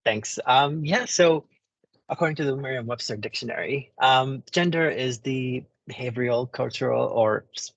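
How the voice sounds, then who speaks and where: A speaker from the Middle East explaining terms on a video call, pausing often with ums and restarts. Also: male voice, mid-range voice, a bit bright, no room sound. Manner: hesitant, many ums and restarts. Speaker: panel speaker. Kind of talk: explanatory talk.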